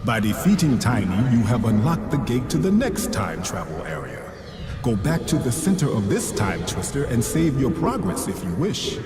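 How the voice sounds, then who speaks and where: A man's voice: medium pitch, sing-song, moderate pace, lightly acted. A man playing a wise, benevolent ancient mask spirit in a video game, speaking in a solemn, grandly instructive manner. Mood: solemn guidance. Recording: echoing, music behind.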